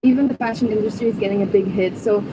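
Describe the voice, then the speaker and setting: A woman's voice: mid-range voice, quick pace, noisy background. A woman in her twenties, raised in Macau, answering pageant questions in an earnest, upbeat way and mixing in Tagalog words. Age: 20s. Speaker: pageant candidate.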